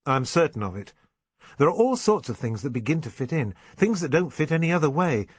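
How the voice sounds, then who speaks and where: A man voicing an anxious, intense young English gentleman in an audiobook novel, urgent and well-bred. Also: mid-range voice, very animated, brisk, husky, pitched above the performer's own voice. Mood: urgent, certain.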